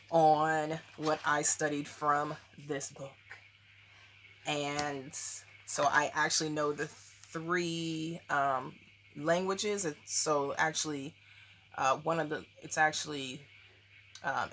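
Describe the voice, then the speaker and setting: A woman's voice: low voice, bright, forceful tone, a little hiss or hum. A woman in her thirties talking casually on camera at home, rambling with lots of ums and likes. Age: 30s. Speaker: vlogger. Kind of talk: casual book review vlog.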